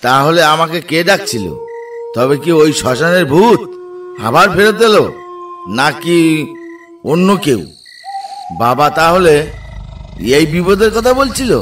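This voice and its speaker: A man's voice: on the high side, expressive, unhurried, long pauses, a bit bright. A man voicing an anxious village headman in a cartoon. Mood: worried. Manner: anxious, pleading. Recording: distant voice with faint music.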